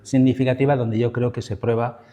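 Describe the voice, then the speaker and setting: A man's voice: medium pitch, little pitch movement, a little fast, dark tone. A man with an accent from Spain giving a history lecture as a professor, in a discursive, semi-improvised way with asides and checking tags. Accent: Spain Spanish.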